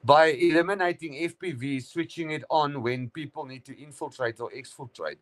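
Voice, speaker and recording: A man's voice: on the high side, normal speed, a bit bright. A middle-aged man, a guest chatting on a live stream, rambling with many fillers and restarts. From South Africa. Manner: rambling, full of fillers and restarts, says "brother". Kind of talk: casual chat on a live stream.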